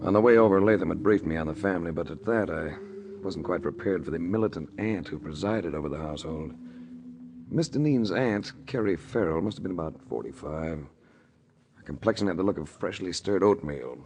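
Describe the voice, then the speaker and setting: A hard-boiled private eye, hissy old broadcast. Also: male voice, low voice, animated, fairly quick. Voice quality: dry, hard-boiled delivery. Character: tough wisecracking male private detective, adult. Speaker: narrator and lead character. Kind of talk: 1940s radio acting.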